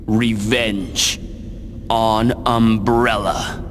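An actor playing a vengeful, gloating young male villain in a video game cutscene, with theatrical, drawn-out menace. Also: on the low side, fairly even intonation. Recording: music over the voice.

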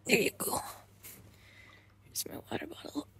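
A woman doing intimate ASMR roleplay as a caring, affectionate girlfriend, speaking in a soft, breathy, soothing murmur that often slips into a whisper. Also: normal speed. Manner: soothing, hushed, tender, often slipping into whispers. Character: caring, affectionate girlfriend comforting her partner.

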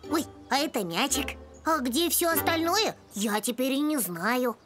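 A woman voicing a naive, excitable little child, the youngest sibling in a children's cartoon, wide-eyed and eager. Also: sits high, measured pace. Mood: proud. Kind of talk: animated children's cartoon dubbing. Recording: loud music behind. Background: music.

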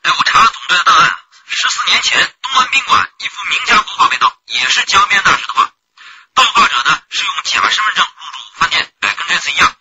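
An actress playing an eager police investigator in a radio drama, speaking with excited urgency. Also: female voice, fairly low pitch, fast talker, long pauses, breathy edge, dull audio, full character voice. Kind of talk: Chinese radio drama acting. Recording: very noisy background.

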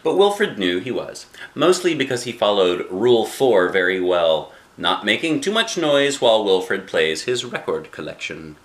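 A middle-aged man reads a story aloud with a widely swinging, animated delivery. He is playful and expressive, stepping out of the text for chatty asides and questions, as a dad does with a child. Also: fairly low pitch, unhurried, hardly any pauses. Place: small living room indoors. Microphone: camera a short distance away.

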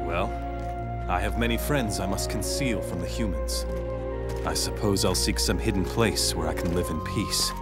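An actor playing a grave, weary young warrior sorcerer with solemn, archaic speech in a video game. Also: male voice, deep voice, normal speed, close to an everyday voice. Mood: resigned, solemn. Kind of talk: dramatic video game voice acting. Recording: loud music behind the voice.

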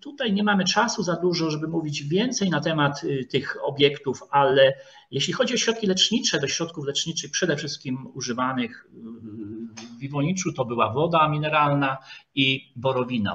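Halting man lecturing, dull audio. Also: mid-range voice, fairly bright tone. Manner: halting, hesitant, with frequent drawn-out filler sounds. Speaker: lecturer, historian. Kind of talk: online lecture with slides. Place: online video call. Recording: dull, lo-fi video call audio.

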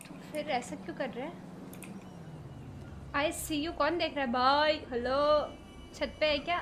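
A woman's voice: high voice, a little slow, a bit bright, slight background noise. A young woman chatting casually and teasingly on a game livestream from an indoor room, speaking into a close mic. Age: young adult. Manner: playful, teasing. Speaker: game streamer. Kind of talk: casual playful livestream chat while gaming.

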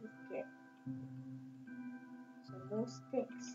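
Chatty home gamer woman narrating her play, slow-paced, dull lo-fi mic, loud music underneath. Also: fairly high pitch, steady tone. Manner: rambling, chatty, talks to viewers as "guys". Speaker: gaming video creator. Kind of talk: casual let's-play commentary. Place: home at a computer desk.

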